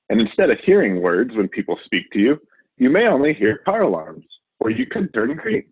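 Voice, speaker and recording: A man's voice: medium pitch, normal speed, pauses often, husky, no echo, heavily acted. Man acting a boastful, swooping TV survival host. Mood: cheerfully reckless. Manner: excitable, showy, cheerfully overconfident. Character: wild, boastful male TV survival show host. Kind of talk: children's storybook character reading. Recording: narrow, phone-like audio.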